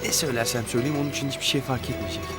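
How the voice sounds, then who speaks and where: A man's voice: medium pitch, rapid-fire, close to an everyday voice. An actor playing a young rich man who pleads desperately and pities himself, in a TV drama with music beneath. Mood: pleading. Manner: pleading, emotional outbursts. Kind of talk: TV drama acting.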